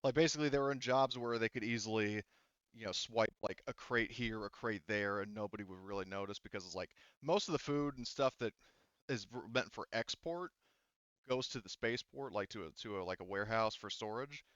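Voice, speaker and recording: Low, clear-voiced man running a tabletop game. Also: fairly bright tone, dry recording. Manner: halting, thinking aloud. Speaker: game master. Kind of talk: narrating a tabletop roleplaying game. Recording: dull, lo-fi, dry close sound.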